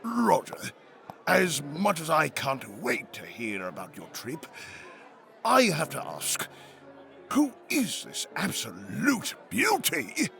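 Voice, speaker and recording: A gushing, flattering old chum of a wealthy family in an audio drama. The delivery is theatrical and lively, with rather high pitch and a drawn-out pace. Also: male voice, creaky, soft background music, full character voice. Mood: loudly excited. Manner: gushing, theatrical society talk. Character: effusive, flattering male family friend at a party.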